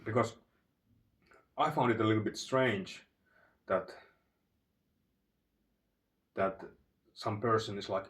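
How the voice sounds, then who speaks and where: Brief: low-voiced Finnish man with a Finnish accent, unhurried and rambling, picked up by a phone a bit away. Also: steady tone, fairly bright tone, distant mic. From Finland. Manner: digressive, halting, many fillers and restarts. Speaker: channel host. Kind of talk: rambling storytelling monologue.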